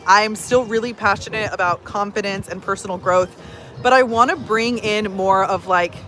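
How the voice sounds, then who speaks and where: A woman's voice: medium pitch, rough recording. A woman in her thirties, a personal growth coach, chatting casually to camera with many fillers. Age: 30s. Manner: chatty, many fillers, reads comments aloud.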